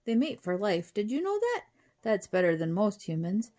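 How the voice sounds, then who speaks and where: A kindly little British professor from a children's storybook, played by a woman. He is calm, polite and quaintly proper, with a voice that often lifts high. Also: normal speed, lightly acted. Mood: polite, welcoming. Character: kindly, genteel little old-fashioned male professor.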